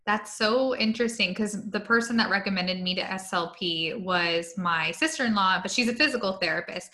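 Bright-voiced woman podcast host. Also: mid-range voice, brisk. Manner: friendly, affirming interviewer. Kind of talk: casual interview chat.

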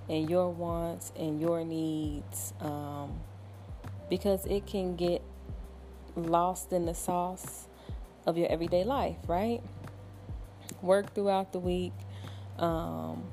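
A woman from Ohio hosting her own podcast at home late at night, talking casually in a soft, smooth voice with lots of fillers and small laughs. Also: on the low side. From Cincinnati, Ohio. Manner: relaxed, chatty, with frequent fillers and soft laughs. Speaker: podcast host. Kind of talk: casual solo podcast talk.

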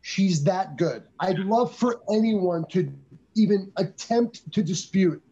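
High pitched guy w a mellow husky voice, dull lo-fi audio, chatting through a movie review. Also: takes it slowly. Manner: animated anecdote telling. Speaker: podcast co-host. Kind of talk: casual movie review chat.

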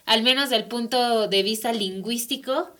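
A woman's voice: a little high, moderate pace, sharp tone. A Mexican woman with a Mexican Spanish accent, explaining language issues on an academic radio talk show and often checking in with tag questions. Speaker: guest speaker on language. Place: radio studio.

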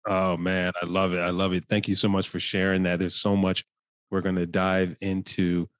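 A man's voice: sits low, monotone, normal speed, no room sound, clean studio sound. A man hosting an interview podcast in a casual, conversational style. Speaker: podcast host.

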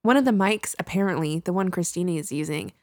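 Young woman, low-ish voice, dry studio sound. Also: quick pace, dry recording. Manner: animated and expressive, laughs often. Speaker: podcast co-host. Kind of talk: casual chat. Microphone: studio podcast mic.

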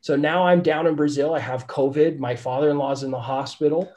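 A man, a real estate investor and podcast guest, telling his story candidly in a casual interview, his voice raspy from illness. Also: fairly high pitch, steady tone, a little fast, a little mellow. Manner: candid, says "right?" often.

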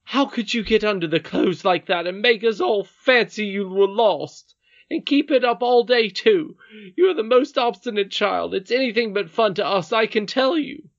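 An indignant storybook nursemaid, acted out by a man, scolding in a high, shrill voice that pushes loud with outrage. The recording is dull and homemade. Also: normal speed. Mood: furious. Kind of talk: storybook read-aloud character voice.

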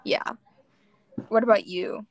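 A young woman co-hosting a podcast, chatting casually and telling quick stories with asides. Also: mid-range voice, lively intonation, dry recording. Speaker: podcast co-host. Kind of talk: casual chat.

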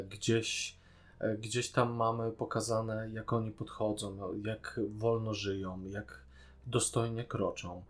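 Male podcast co-host. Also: fairly low pitch. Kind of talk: casual opinion discussion.

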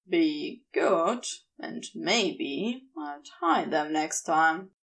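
A woman voicing a commanding but tender male demon lover in a fan fiction audiobook, with deliberate, praising speech. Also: sits low, big pitch swings, vocal fry, fairly bright tone, voice pushed down, full character voice. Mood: firm, commanding.

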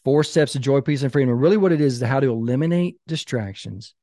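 A man in his fifties, a podcast guest and author, talking in an animated, emphatic storytelling way. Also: medium pitch, quick pace, dry recording, studio quality. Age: 50s. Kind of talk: casual podcast conversation.

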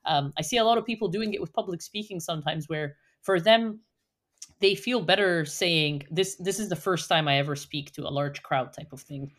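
Chatty, animated woman guest. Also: on the low side, moderate pace. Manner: animated, chatty, many fillers like "like" and "you know". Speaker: podcast guest. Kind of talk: casual podcast conversation.

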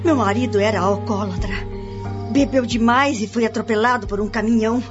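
A woman's voice: fairly high pitch, steady tone, a little fast, close to an everyday voice. An actress in a radio drama playing a desperate young mother who pleads tearfully. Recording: muffled radio play with music.

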